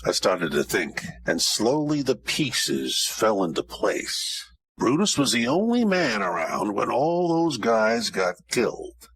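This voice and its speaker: A man playing a hardboiled, wisecracking detective of ancient Rome in an old-time radio parody, with deadpan tough-guy delivery. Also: fairly low pitch, husky, no echo, full character voice, rough recording.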